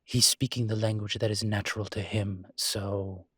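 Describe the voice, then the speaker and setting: Low, husky man playing a refined demon professor. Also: level tone, a bit bright, no echo, clean studio sound. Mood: thoughtful, explaining. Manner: hesitant, halting, genteel explanations. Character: refined male incubus demon professor, irritated but patient. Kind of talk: intimate audio roleplay monologue.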